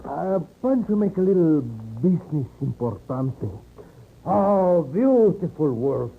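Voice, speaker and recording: A man's voice: high voice, slow, vocal fry, slightly rough, exaggerated voice. A man playing an exuberant, scheming Mexican man who speaks broken English in a 1950s radio western. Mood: joyful. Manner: excitable broken English, speaks of himself by name. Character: exuberant, scheming Mexican man, happy-go-lucky organ player. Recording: tinny old radio recording.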